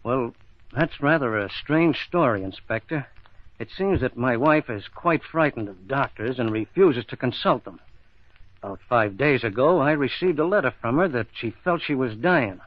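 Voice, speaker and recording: An actor playing a cold, smooth-talking middle-aged husband with murderous intent in an old-time radio mystery, speaking in a measured, formal way. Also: male voice, mid-range voice, expressive, dry recording, natural voice. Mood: composed, evasive. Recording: dull lo-fi old radio recording.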